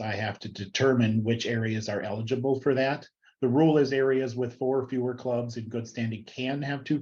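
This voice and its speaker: A man's voice: mid-range voice, darkish tone. A man in an official role informally explaining rules on an online call. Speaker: program quality director of a club district. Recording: dull, lo-fi online call audio.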